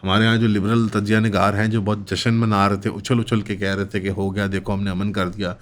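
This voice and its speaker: Pakistani guy doing news analysis, lowish voice, long winding sentences, drops english terms in. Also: level tone, a little fast. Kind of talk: political commentary.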